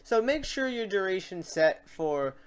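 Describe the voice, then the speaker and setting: Casual software tutorial host, thinking aloud. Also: medium pitch, big pitch swings, unhurried, clear, resonant tone. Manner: informal, thinking aloud while demonstrating.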